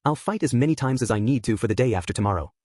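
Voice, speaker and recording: A man's voice: mid-range voice, lively intonation, very fast, darkish tone, dry recording, studio quality, close to an everyday voice. A man voicing a stubborn young man who speaks with desperate defiance, in a read-aloud story. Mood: defiant.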